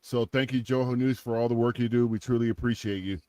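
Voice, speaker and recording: A man's voice: mid-range voice, fairly even intonation, quick pace, darkish tone, no room sound. A man in his forties co-hosting a podcast, joking and rambling through a donation plug with many restarts. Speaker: podcast co-host. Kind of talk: casual podcast chat with sponsor-style plugs.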